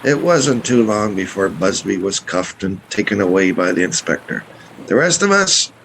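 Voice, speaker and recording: A man's voice: lowish voice, sing-song, normal speed, a bit bright, poor audio. A man playing a plainspoken, folksy boat captain who narrates and acts in a radio play. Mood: resigned, wry. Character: folksy boat captain telling his tale. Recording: faint background noise.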